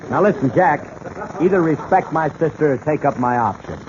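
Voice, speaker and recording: An actor playing a brash, protective brother and bandleader in old radio comedy style. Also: male voice, a little high, a little fast, natural voice. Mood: brash, indignant. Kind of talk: 1930s-40s radio comedy acting. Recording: muffled, noisy old radio recording.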